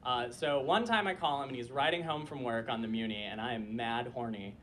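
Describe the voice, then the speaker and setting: A man's voice: mid-range voice, a little fast, fairly bright tone, a little hiss or hum. A young man telling a comic personal story on a club stage, chatty and full of filler words, with a voice he says sounds like a disappointed mom. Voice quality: voice like a disappointed mom, per a friend. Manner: chatty, lots of filler likes, frequent laughter. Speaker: storyteller performer. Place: small club stage before a live audience.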